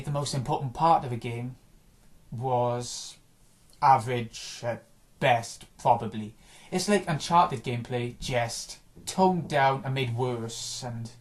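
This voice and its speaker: A man chatting casually about video games, rambling and offhand, his voice a little hoarse after a day of recording. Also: medium pitch. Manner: rambling, offhand, frequent restarts and small chuckles. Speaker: gaming vlogger.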